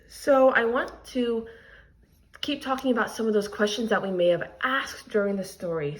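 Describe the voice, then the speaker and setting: Female primary teacher, mid-pitched, animated read-aloud delivery with explanatory lesson asides for children. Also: rough recording. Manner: explanatory, addressing young students. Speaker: teacher reading a picture book aloud.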